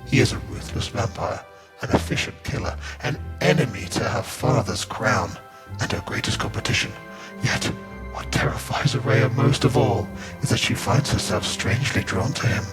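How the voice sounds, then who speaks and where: A man's voice: on the low side, animated, normal speed, over music. A man reading a scripted book blurb as dramatic narration.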